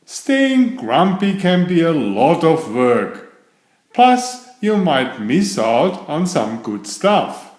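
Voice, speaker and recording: Man playing a friendly, high-pitched storybook monkey. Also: sing-song, takes it slowly, darkish tone, echoey room. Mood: coaxing.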